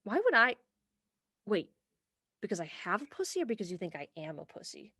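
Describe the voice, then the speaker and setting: A young woman acting in a comic radio play as an earnest, headstrong police officer, brisk and argumentative. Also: medium pitch, very animated, pushed and bright, breathy edge, dry recording, studio quality, heavily acted. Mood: indignant, earnest. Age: young adult.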